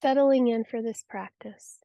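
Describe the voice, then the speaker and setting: A woman guiding a yoga nidra relaxation practice with soothing, unhurried, gentle instruction. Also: a little high, dry recording, clean studio sound. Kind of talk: guided relaxation meditation.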